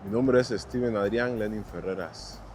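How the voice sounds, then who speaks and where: A man's voice: fairly low pitch, normal speed, darkish tone. A man speaks as a documentary interviewee, giving his opinion in a considered way. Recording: music under the voice.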